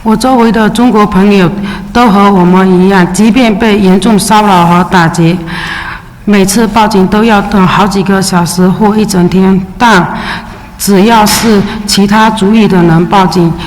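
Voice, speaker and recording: A Chinese immigrant woman, a native Mandarin speaker, reading her testimony at a public hearing in a steady, measured way with brief hesitations. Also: mid-range voice. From China. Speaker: witness testifying at a city council hearing. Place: large public hearing chamber. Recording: echoey hearing room with faint ambience.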